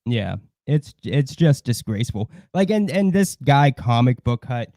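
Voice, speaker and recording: Man, relaxed dark tone, close dry sound, halting delivery, profane, opinionated livestream chat. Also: mid-range voice, no room sound. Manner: blunt, heavy swearing, disdainful. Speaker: co-host of a livestream.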